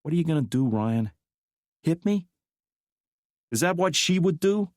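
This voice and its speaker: A tough, sharp-tongued woman agent who presses hard with pointed questions, put on by a male narrator. The voice sits far higher than his own and rises further when she pushes. The sound is dry and close. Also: expressive, unhurried, dry recording. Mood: confrontational. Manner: pointed, challenging, exasperated.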